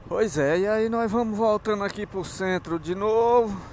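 A man's voice: high-pitched, moderate pace, a little mellow. A man with a northeastern Brazilian accent chatting casually as he vlogs from a moving motorcycle, in a folksy, rambling way. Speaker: motovlogger. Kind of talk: casual vlog narration while riding. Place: outdoors, riding a motorcycle on town streets. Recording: motorbike and street noise. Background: engine and traffic sounds.

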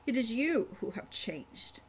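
A woman voicing a scornful, aloof, non-human female shopkeeper of magic in a storybook, condescending and measured. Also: fairly high pitch, big pitch swings, a little hiss or hum. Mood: scornful, bored.

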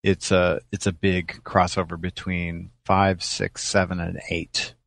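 A man's voice: deep voice, level tone, measured pace, gravelly, fairly bright tone. A man co-hosting a podcast, talking casually and informally with some hesitant hedging. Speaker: podcast co-host.

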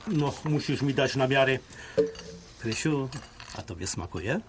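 An actor playing a genial, gallant émigré businessman of about sixty in an audio drama, with a Polish highlander accent and folksy talk sprinkled with English. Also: lively intonation, measured pace, background noise. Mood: jovial, flirtatious. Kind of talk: audio drama acting, conversational.

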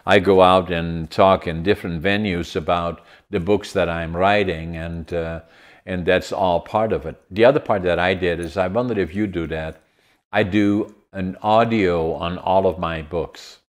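Very deep-voiced man in his 80s, Dutch accent, a host who speaks haltingly on a video call. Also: steady tone. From Netherlands. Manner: halting, with many fillers and restarts. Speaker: podcast host. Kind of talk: casual interview chat. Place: home room with large window.

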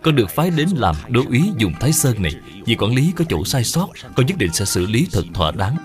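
A man acts out a wise, kindly father who serves as an ancient official in a cartoon. He is gentle and instructive, mid-pitched, with music behind him. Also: big pitch swings, close to an everyday voice. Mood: dutiful. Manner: measured, moralizing, gentle. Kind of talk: cartoon dub acting.

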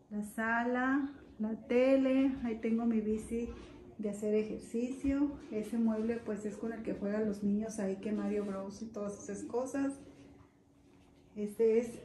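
A middle-aged woman, her voice a little high and mellow, talks homely and chatty as she shows her home. She films on a handheld phone while walking, and faint ambient noise sits behind her. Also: low-quality recording. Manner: chatty, homely, addresses viewers directly. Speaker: vlogger giving a home tour. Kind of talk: casual home tour narration. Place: house and yard, indoors and outdoors. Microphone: phone camera held while walking.

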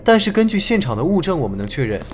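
Lively young male detective. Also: fairly high pitch, racing, slight background noise. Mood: earnest, analytical. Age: young adult. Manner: articulate, methodical, reasoning aloud. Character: confident young male police detective, analytical, returned from abroad. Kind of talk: Chinese audio drama acting.

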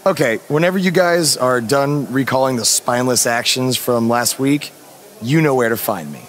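A man's voice: medium pitch, moderate pace, natural voice. A man playing a confident, defiant wrestling champion in a video game cutscene. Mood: dismissive.

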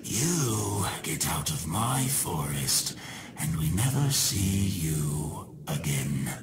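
An actor voicing a scheming, short-tempered shadowy spirit who takes souls, theatrical and mock-polite, in a video game villain style. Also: male voice, very deep voice, sing-song, slow, noisy background, exaggerated voice.

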